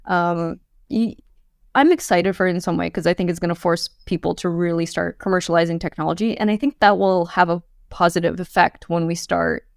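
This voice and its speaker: A woman's voice: fairly low pitch, steady tone, a little fast, gravelly, no room sound, studio quality. A woman in her thirties, a podcast guest, talking candidly and chattily with many fillers. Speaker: podcast guest, quantum computing founder. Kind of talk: casual interview chat.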